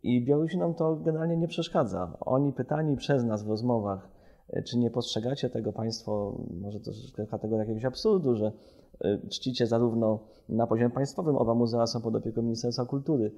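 A man's voice: mid-range voice, fairly quick, warm tone. A man from Poland speaking as a researcher in a podcast, discursive, building his arguments in long chains.

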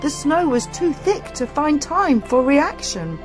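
A woman reading a children's story aloud as dramatic, expressive, suspenseful narration. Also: high voice, measured pace. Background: music.

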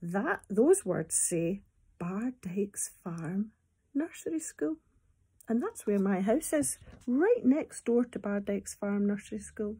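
A Scottish woman in her fifties talking and reading to young children at storytime, expressive, in simple phrases with questions to the listeners. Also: mid-range voice, slow pace, breathy edge. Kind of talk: storytime talk and poem reading for small children. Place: small room at home, indoors. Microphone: phone or tablet at arm's length.

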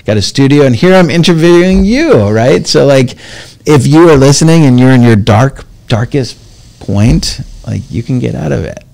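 A middle-aged man hosting a podcast, talking in a chatty, earnest way full of fillers, on a desk mic in a bright office studio. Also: medium pitch, lively intonation, normal speed, gravelly, rough recording. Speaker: podcast host. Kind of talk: casual interview chat. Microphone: desk mic on boom arm.

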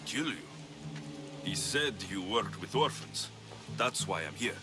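A determined young mercenary hero from a video game, speaks in a low register with very mobile intonation. He is curt and purposeful, with clear music running underneath. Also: lively intonation, takes it slowly. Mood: determined, probing. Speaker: playable protagonist. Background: background music.

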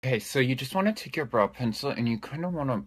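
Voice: mid-range voice, animated, fast talker, husky. A person doing a makeup tutorial to camera, breaking into exasperated swearing.

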